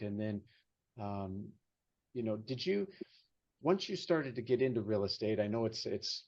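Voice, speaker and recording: A man's voice: fairly low pitch, a little mellow, highs cut off. A man hosting a podcast interview, upbeat and chatty, with frequent fillers. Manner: upbeat, hesitant with many fillers. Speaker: podcast host. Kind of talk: casual interview chat.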